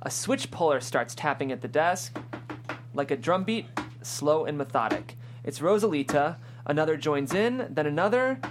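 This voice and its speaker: Very low-voiced woman narrating a screenplay. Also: lively intonation, normal speed, over music. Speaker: narrator reading stage directions. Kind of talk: scripted screenplay narration at a table read.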